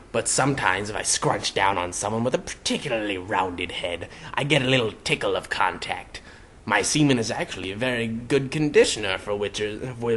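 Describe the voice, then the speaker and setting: A man voicing a smug, lecherous talking hat with a gruff baritone and crooning, cajoling manner. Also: bright, forceful tone, a little hiss or hum. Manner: crooning, sing-song, cajoling.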